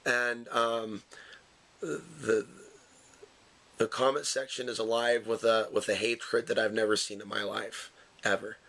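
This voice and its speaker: A man giving unscripted video commentary with halting, hesitant phrasing. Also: fairly low pitch, steady tone, vocal fry, bright, forceful tone, poor audio.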